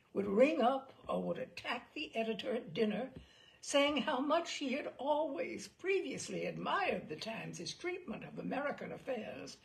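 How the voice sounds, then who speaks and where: A woman reading a memoir aloud in an expressive, dramatic storytelling style with wry asides. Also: on the high side, creaky, rough recording. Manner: lively, dramatic phrasing with asides. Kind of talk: expressive audiobook-style narration.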